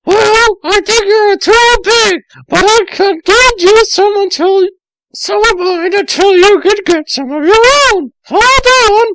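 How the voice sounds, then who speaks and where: A man voicing an excitable, easily shocked young female cartoon character in an exaggerated shrill falsetto. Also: slow, dry recording, heavily acted, poor audio. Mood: fussy. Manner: exaggerated, dramatic.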